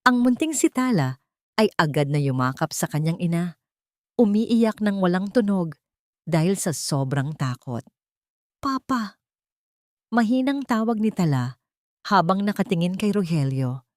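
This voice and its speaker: A woman's voice: on the low side, big pitch swings, normal speed, darkish tone, no echo, studio quality. A woman narrating a scripted story in a dramatic style.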